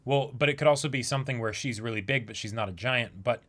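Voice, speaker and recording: A man's voice: medium pitch, fast, a bit bright. A man co-hosting a livestream, talking casually as a fan in a discussion. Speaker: livestream co-host.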